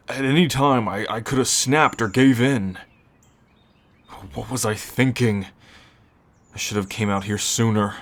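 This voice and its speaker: A man playing a gruff, brooding teacher who is turning into a werewolf, halting and protective, in an ASMR roleplay. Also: on the low side. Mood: anxious, regretful. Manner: halting, sighing, sardonic.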